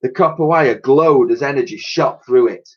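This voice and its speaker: A man's voice: mid-range voice, moderate pace, muffled recording. A man reading a children's story aloud like a teacher, with theatrical, suspenseful narration and emphatic sound effects spoken by mouth.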